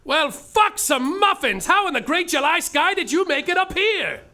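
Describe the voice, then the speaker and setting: An actor playing a brash, foul-mouthed detective who narrates a comic space adventure theatrically in a live podcast show. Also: male voice, extremely high pitch, big pitch swings, normal speed, pushed and bright, full character voice. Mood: bewildered. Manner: theatrical, exclamatory. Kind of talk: comedic live storytelling, mock-detective narration. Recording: live theater recording with faint music.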